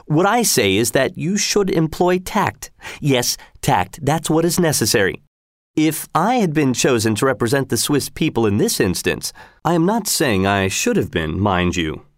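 A man voicing a pompous, self-important Swiss gentleman who lectures his friends. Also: mid-range voice, big pitch swings, normal speed, no echo, studio quality, voice pushed up, lightly acted. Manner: pompous, fussy.

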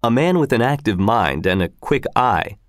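A man's voice: mid-range voice, sing-song, moderate pace, dry recording, studio quality, lightly acted. A man reading a story voices a bold, easygoing hunter and father who speaks casually and self-assuredly.

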